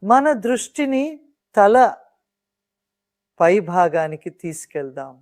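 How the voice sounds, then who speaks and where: Calm meditation guide in a dry studio sound. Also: medium pitch, no room sound. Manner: calm, soothing, measured instruction with pauses.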